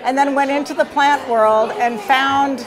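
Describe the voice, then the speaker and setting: A woman's voice: sits high, fairly even intonation, unhurried, crowd noise, poor audio. A middle-aged woman representing a food company, explaining its product in a documentary interview.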